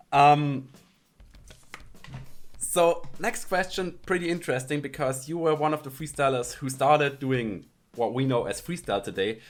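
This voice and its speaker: A young man with a German accent hosts a relaxed interview, chatty and quick to laugh, with loose phrasing. His voice sits at a medium pitch, coming through a video call. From the Alps. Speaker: podcast host. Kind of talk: casual online interview.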